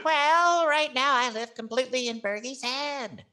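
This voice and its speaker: Lady doing a sassy little wooden dummy, high voice, drawls it out, radio comedy, noisy clicky audio. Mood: cheeky. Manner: wisecracking, drawn-out delivery. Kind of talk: 1940s radio comedy acting.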